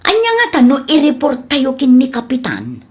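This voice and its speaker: A woman's voice: sits high, wildly swooping pitch, moderate pace, a little mellow. A woman playing a plaintive, suspicious young wife in an Ilocano comedy drama, speaking with an Ilocano Filipino accent. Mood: troubled.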